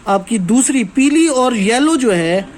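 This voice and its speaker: A man with a very high-pitched voice works as a technical trainer, walking through material in an informal, hands-on way. He explains step by step and keeps checking that listeners follow. There is some background noise in the recording. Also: lively intonation, unhurried.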